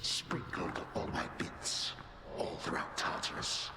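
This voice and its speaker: A man's voice: slow, close to an everyday voice. An actor playing an ancient, resentful titan father in a video game, mockingly genial and theatrical. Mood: sardonic menace. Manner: mocking, theatrical, falsely pleasant. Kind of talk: video game villain acting. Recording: echoey with loud background noise.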